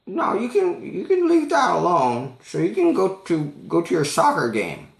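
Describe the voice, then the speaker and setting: A quirky elderly woman, acted out by a male storyteller, her voice pushed high and swooping. She is chatty, friendly and a little oblivious, halting and repeating herself, recorded in an echoey room. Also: wildly swooping pitch, gravelly, full character voice. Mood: insistent, kindly.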